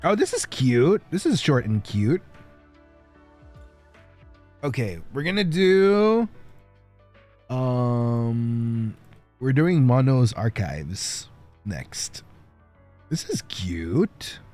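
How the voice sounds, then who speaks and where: A man livestreaming in a playful, casual way, chatting and reading stories aloud with a husky voice. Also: mid-range voice, sing-song, extremely slow, long pauses, a little mellow. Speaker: livestreamer, virtual streamer. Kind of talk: casual livestream chat and fan fiction reading. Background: background music.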